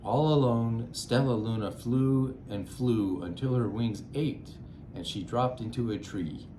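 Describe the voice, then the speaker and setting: A man narrating a children's storybook aloud in a measured, expressive way. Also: medium pitch, roomy sound, noisy background.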